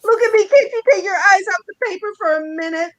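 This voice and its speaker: A woman's voice: very high voice, fast talker, fairly bright tone, a little hiss or hum. A woman playing a needy, touchy wife who nags dramatically in a staged play reading about domestic life. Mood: upset, tearful. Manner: nagging, dramatic, aggrieved.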